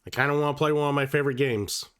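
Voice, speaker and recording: Male streamer, under the weather, slightly nasal, mid-pitched, loose profane chatter, dry close mic. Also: very fast, fairly bright tone, smooth, no room sound. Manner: casual and profane, with many fillers and restarts. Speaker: livestream host. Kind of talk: casual livestream chat.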